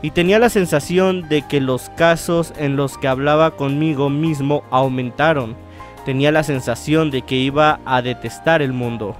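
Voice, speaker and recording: Man, somewhat high-pitched, gaming host, casual let's-play delivery, halting read-aloud, self-correcting, faint music behind. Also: steady tone. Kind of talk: casual let's-play talk and reading visual novel text aloud.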